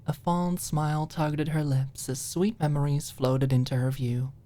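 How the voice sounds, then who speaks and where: A woman's voice: deep voice, steady tone, moderate pace, dark tone. A woman narrating a scripted audiobook in an ASMR style.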